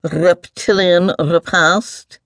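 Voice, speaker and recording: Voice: mid-range voice, steady tone, extremely slow, fairly bright tone, no room sound. A narrator voicing a grumpy, mumbling giant mole. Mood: muttering, curious. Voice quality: mumbling, liquid. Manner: repetitive, indignant muttering to itself. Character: grumpy muttering giant near-sighted mole, fussy and pompous.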